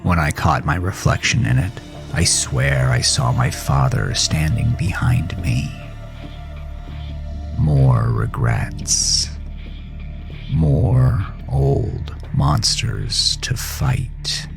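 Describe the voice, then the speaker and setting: Male actor, low register, as a haunted, regretful horror narrator; intense, deliberate, with clear music bed. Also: very animated, full character voice. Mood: somber, haunted. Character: haunted, regretful man recounting his fight with a monster. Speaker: narrator and host of a horror audio drama. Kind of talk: dramatic first-person horror narration.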